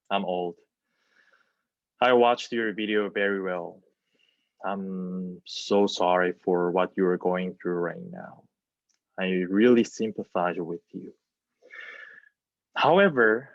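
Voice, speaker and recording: A man with a Korean accent playing an older, reassuring version of himself in a measured, earnest monologue with halting English. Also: fairly low pitch, no room sound, natural voice. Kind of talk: dramatic monologue as a video reply. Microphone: wireless earbuds on a video call. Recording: dull, lo-fi video-call audio.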